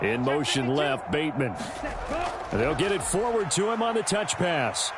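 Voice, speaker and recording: A man voicing a polished, excitable American football play-by-play announcer in a video game, with punchy broadcast delivery. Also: fairly high pitch, moderate pace, very noisy, close to an everyday voice. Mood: excited, punchy. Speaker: play-by-play sports commentator. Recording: loud music behind the voice.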